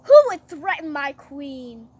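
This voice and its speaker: Young woman acting slow, imperious, outraged king. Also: sits high, lively intonation, a bit bright, full character voice. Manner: commanding, drawn-out, emphatic. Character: imperious, outraged male king in a Bible tale. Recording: clearly noisy recording.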